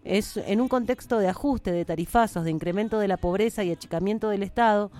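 A woman's voice: medium pitch, brisk, warm tone. Activist, woman, earnest declamatory reading, mid-range voice. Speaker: feminist activist. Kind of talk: reading a protest manifesto aloud.

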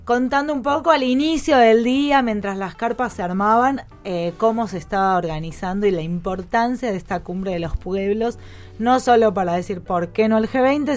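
A woman hosts a live radio broadcast, setting up clips in an easygoing, slightly halting manner. Her voice sits a little high, with faint music under her. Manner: relaxed, hesitant fillers. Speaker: radio presenter.

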